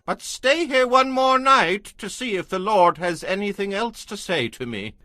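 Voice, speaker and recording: A man playing an excitable, quick-tempered biblical prophet with an emphatic, declamatory delivery in a dramatized scripture reading. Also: high voice, no echo, exaggerated voice. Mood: solemn. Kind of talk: dramatized scripture audio acting.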